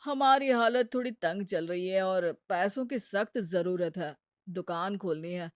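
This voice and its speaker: A woman's voice: mid-range voice, a little slow, no room sound, studio quality, pitched below the performer's own voice, lightly acted. A woman voicing a cocky, irresponsible gambler husband in a cartoon story, boastful and wheedling in manner.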